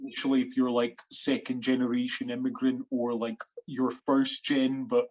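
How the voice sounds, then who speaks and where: A man's voice: mid-range voice, moderate pace, rough recording. A chatty young man with a Scottish accent. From Sri Lanka. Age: 20s. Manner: animated, rambling, many fillers and restarts. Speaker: guest actor and writer. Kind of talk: casual interview chat.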